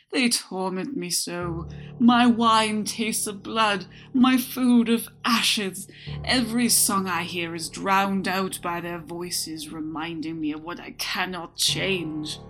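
A woman's voice: mid-range voice, unhurried, voice pushed up, natural voice, low-quality recording. A woman voicing a remorseful immortal male lord who pleads through sobs, with music underneath. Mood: pleading. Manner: sobbing, pleading.

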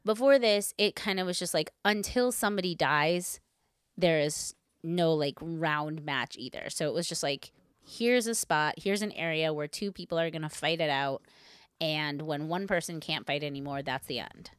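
Female podcast co-host, lower register, clean smooth tone, chatty and playful storytelling. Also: normal speed, no room sound, clean studio sound. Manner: informal, heavy use of "like", playful asides. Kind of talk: casual chat, retelling a story with asides.

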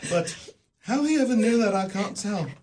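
An actor playing a gentle poor clerk and grieving father in a radio drama, soft and halting. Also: high-pitched, lively intonation, slight background noise.

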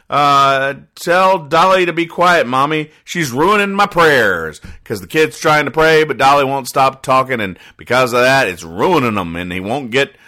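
A man's voice: fairly high pitch, sharp tone. A man hosting a casual comedy podcast, rambling and mock-exasperated as he reads and picks apart material. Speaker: podcast host. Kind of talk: casual comedic commentary, reading comic strips aloud.

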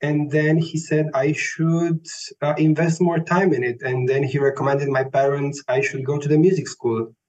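A man in his thirties with a Romanian accent, a classical tenor answering interview questions slowly and thoughtfully, often searching for words. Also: a little high, fairly even intonation, a little mellow. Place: Vienna. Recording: dull lo-fi sound, faint music.

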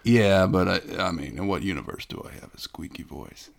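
A low, gravelly male voice fronts a comedy podcast, reading out listener mail. He sounds pompous and self-important, and he gets mock-indignant at insults. His voice has a slight rough fry to it. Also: animated, normal speed. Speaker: podcast host. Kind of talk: comedic scripted podcast banter.

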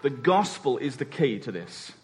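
A man's voice: mid-range voice, sing-song, normal speed, a little mellow, a little hiss or hum. A man preaching a conversational sermon in an informal way, acting out dialogue in everyday words. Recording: long hall reverb.

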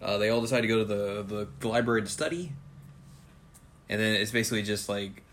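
A man's voice: fairly low pitch, quick pace, fairly bright tone, slight background noise. A man co-hosting a podcast, casually recapping an anime episode and laughing often. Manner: laid-back, laughs often, slips into quoted voices. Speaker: podcast co-host.

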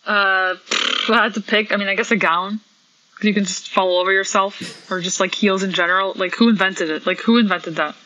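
A woman's voice: medium pitch, fairly even intonation, moderate pace, muffled recording, noisy background. A woman percussionist with a slight Polish accent answering interview questions casually, with many fillers and light laughs. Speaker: percussionist guest.

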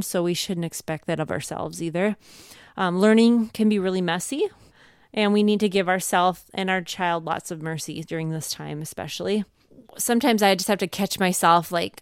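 A woman's voice: lowish voice, smooth, no echo, clean studio sound. A woman co-hosting a podcast, giving conversational advice in an encouraging, reassuring way. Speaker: podcast co-host.

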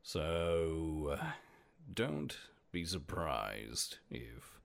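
A man hosting a casual podcast, hoarse today, chatty and self-deprecating with playful asides. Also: extremely low pitch, wildly swooping pitch, very slow. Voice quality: gravelly. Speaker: podcast host. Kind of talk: casual solo podcast about saints.

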